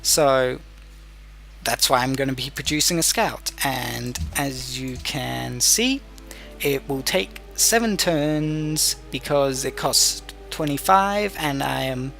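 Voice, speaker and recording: Male gameplay tutorial host, mid-pitched with some fry, patient and a little hesitant, faint music underneath. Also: takes it slowly, a bit bright. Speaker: gamer hosting a let's play tutorial. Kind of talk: explanatory gameplay commentary.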